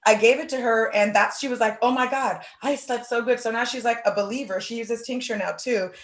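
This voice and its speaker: A woman in her thirties from Oakland, hosting a podcast in animated, casual conversation with lots of "like". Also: fairly high pitch, fairly quick. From Oakland, California. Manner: animated, talks in long runs with fillers like "like". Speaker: podcast host. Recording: roomy echo.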